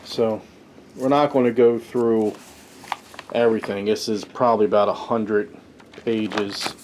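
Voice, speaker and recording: A man's voice: fairly low pitch, steady tone, unhurried, background noise. A man hosting a podcast with casual, offhand, unscripted commentary. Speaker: podcast host, wrestling fan reviewer.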